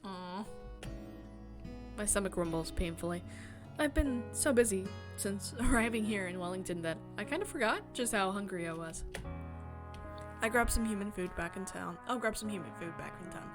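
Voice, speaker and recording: A woman's voice: mid-range voice, animated, normal speed. A woman streaming a visual novel, reading the narration aloud in a casual way with her own commentary. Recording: game music behind the voice. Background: guitar game music.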